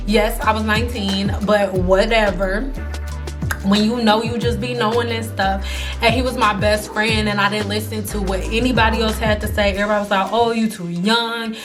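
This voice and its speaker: A woman in her early thirties telling life stories casually to camera, animated and slangy, acting out the people she quotes. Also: mid-range voice. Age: 30s. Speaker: hairstylist vlogger.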